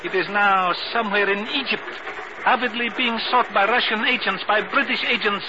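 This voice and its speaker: On a dull, hissy old radio recording, a man plays a shady mercenary informant. His voice is high, and he talks in florid, precise, courtly phrases. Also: normal speed, lightly acted. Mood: smooth, mercenary. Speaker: actor in a radio drama. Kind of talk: 1950s radio acting.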